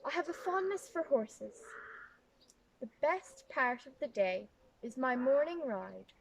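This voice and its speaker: A woman performs a seventeen-year-old noblewoman in a period drama monologue. Her voice is high and her phrasing prim and formal, by turns playful and put-upon. The recording is clearly noisy, with birds behind her. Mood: wistful, indignant.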